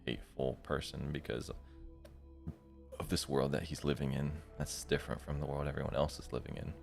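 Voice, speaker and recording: A man with a very deep, gravelly voice giving casual, slangy reaction commentary as a streamer. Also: flat delivery, fairly quick, darkish tone, soft background music. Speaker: streamer playing a visual novel. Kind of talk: casual reaction commentary between read-aloud passages.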